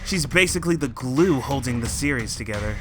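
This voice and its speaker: A man's voice: mid-range voice, animated, fairly quick, over music. A man co-hosting a movie countdown, delivering scripted comic narration in an emphatic, over-the-top style. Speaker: co-host of a movie countdown show.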